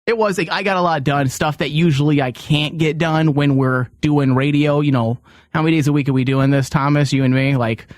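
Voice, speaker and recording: A man's voice: on the high side, fairly even intonation, a little fast, dry recording. A man hosting a casual comedic radio chat, blunt and self-deprecating in his joking. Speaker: show host. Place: radio studio.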